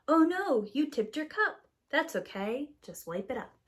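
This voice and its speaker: A woman's voice: high-pitched, very animated, unhurried. A woman reading a children's story aloud expressively, with a rhythmic delivery and acted-out sound words. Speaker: preschool teacher reading a picture book aloud.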